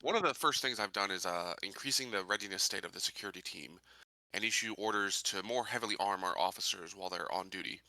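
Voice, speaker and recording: Man as an earnest sheriff, bright forceful voice. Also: low voice, no room sound, heavily acted, poor audio. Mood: firm, reassuring. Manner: measured, formal, with small stumbles. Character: earnest, determined male colony sheriff-to-be.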